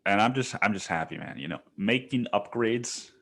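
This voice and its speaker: A man with a fairly low voice hosts a casual solo podcast. He rambles conversationally in slangy language and swears freely, as if chatting from his bedroom. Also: animated, moderate pace, a bit bright. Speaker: podcast host. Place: bedroom used as home studio.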